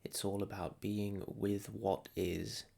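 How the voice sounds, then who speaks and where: Slow, low-voiced man guiding meditation. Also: level tone, vocal fry, dark tone. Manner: soothing, unhurried, with long pauses between short phrases. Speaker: meditation teacher. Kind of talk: guided meditation, calm instruction.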